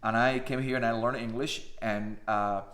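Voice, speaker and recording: A man's voice: medium pitch, fairly even intonation, moderate pace, fairly bright tone. A man in his fifties from Cuba with a Cuban Spanish accent, whose first language is Spanish, giving an earnest, emphatic personal testimony to camera with frequent "uh" fillers. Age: 50s. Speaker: pastor. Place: indoors, in front of a flag.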